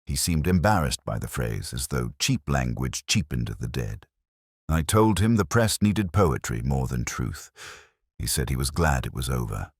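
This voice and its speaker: A man reading a scripted first-person detective story in a measured, brooding, reflective way. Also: very deep voice, very animated, no echo, clean studio sound. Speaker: narrator.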